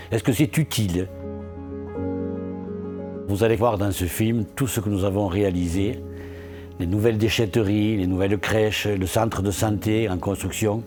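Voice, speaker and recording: A man speaking earnestly as an elected local official in a promotional interview, posing questions and answering them himself. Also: lowish voice. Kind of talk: promotional interview testimony about local achievements.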